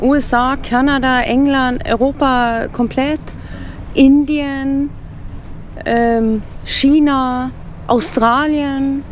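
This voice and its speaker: Swedish woman, middle-aged, german not her first language, lots of ähms, slightly high voice, faint noise behind. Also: fairly even intonation, slow. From Sweden. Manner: hesitant, many fillers, non-native grammar slips. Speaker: relocation consultant, radio guest. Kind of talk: casual interview answers.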